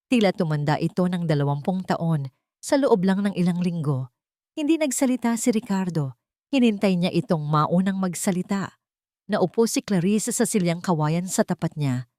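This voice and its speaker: Low-voiced woman narrating a drama. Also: darkish tone, no echo, studio quality. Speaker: storyteller narrator.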